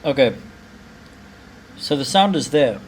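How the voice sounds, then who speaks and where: A young adult man talking casually to himself through a demonstration, thinking aloud in short, halting fragments. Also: mid-range voice, lively intonation, a little hiss or hum. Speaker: amateur vlogger.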